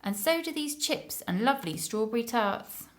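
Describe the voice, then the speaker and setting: A woman voicing an excitable male storybook character with an exclamatory, pleading way of talking. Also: fairly high pitch, moderate pace, lightly acted. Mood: pleased. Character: male storybook character, panicky, regretful, eager when fed.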